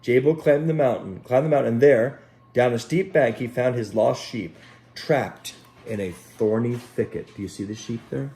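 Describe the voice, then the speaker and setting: A man reading a Bible story aloud to children in a gentle, unhurried way, pausing to address them directly as a Sunday school teacher. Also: medium pitch.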